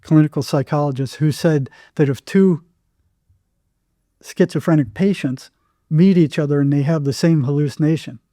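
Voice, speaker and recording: A man's voice: on the high side, normal speed, mellow, dry recording, studio quality. An older American man telling anecdotes casually as an interview guest, acting out bits of dialogue as he goes.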